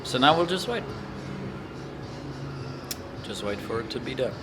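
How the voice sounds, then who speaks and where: A young adult man talking casually to himself through a demonstration, thinking aloud in short, halting fragments. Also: mid-range voice, very animated, music in the background. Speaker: amateur vlogger.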